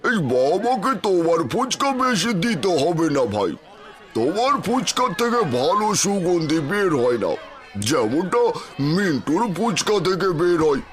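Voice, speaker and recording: A man's voice: sits high, very animated, a little slow, soft background music. A man playing a callous village customer in a cartoon, mocking and dismissive in manner. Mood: scornful.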